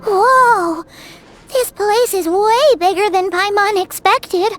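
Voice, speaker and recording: A woman voicing a small, excitable, childlike fairy companion in a video game, with a squeaky voice and a bubbly, easily huffy temper. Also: takes it slowly, soft background music, full character voice. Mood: surprised, curious. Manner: childlike, excitable, speaks of herself in third person. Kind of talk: video game character acting.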